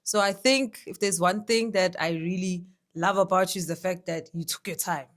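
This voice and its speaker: A woman's voice: lowish voice, normal speed. A young woman hosting an interview with her father, chatty and enthusiastic, turning to speak to her viewers. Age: young adult. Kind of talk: casual interview.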